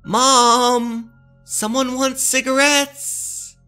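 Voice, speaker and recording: A man voicing an excitable ten-year-old boy in a horror story, calling out eagerly. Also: extremely high pitch, very slow, smooth, soft background music, voice pushed up, exaggerated voice.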